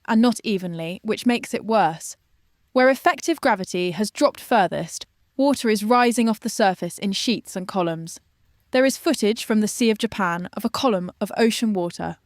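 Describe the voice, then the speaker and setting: A woman's voice: mid-range voice, no room sound, clean studio sound, natural voice. A woman playing a grave, precise science correspondent explaining disaster in a scripted radio drama.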